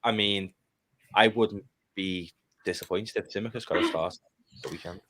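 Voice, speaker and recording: A man's voice: sits low, fairly even intonation, moderate pace, a bit bright. A man, chatting casually and bluntly about football on a live fan stream. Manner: blunt, opinionated, swears. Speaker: podcast panelist.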